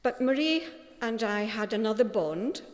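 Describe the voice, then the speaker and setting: A woman with a Scottish accent giving a gentle, storytelling tribute at a funeral. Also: fairly high pitch, animated, unhurried. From Scotland. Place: funeral chapel. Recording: long reverb of a large hall.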